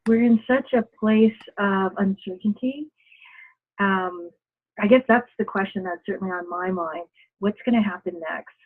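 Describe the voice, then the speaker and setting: Husky woman on a phone-like line. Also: medium pitch, moderate pace. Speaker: audience member asking a question. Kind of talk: tentative, thoughtful questioning. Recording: muffled, narrow phone-like line.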